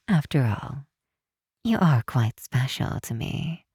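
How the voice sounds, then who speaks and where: A woman playing a possessive supervillain who is softly menacing yet tender, in an intimate ASMR roleplay with a hushed, teasing delivery. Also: a bass voice, sing-song, dry recording, studio quality, heavily acted. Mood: protective, sincere. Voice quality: breathy, hushed. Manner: unhurried, teasing, theatrical.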